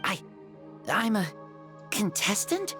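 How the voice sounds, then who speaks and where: A woman's voice: medium pitch, big pitch swings, over music. A woman voicing an earnest, hesitant young child in a video game. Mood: amazed. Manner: hesitant, halting, wide-eyed. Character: sickly, earnest young child, newly amazed.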